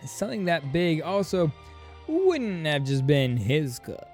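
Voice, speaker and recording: A woman's voice: low-pitched, sing-song, slow, a little mellow. A woman game streamer casually reacting to a story, playful and gushing, with short interjections over game music.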